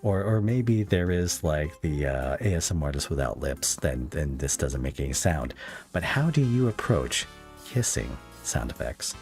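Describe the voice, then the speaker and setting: A young man hosting a casual podcast interview, with halting, slangy, laid-back phrasing. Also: a bass voice, sing-song, darkish tone, faint music behind. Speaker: podcast interviewer, ASMR audio creator.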